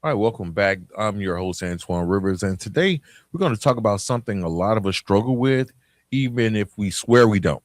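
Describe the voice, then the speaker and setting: A man hosting a podcast, giving a reflective motivational monologue, speaking directly to the listener with emphatic pauses. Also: fairly low pitch, lively intonation, no echo. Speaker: podcast host.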